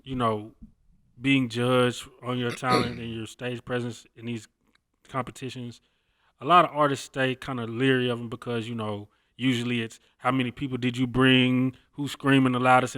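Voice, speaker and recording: A man's voice: medium pitch, fairly bright tone. A man interviewing a guest in a casual, conversational way, with some slang.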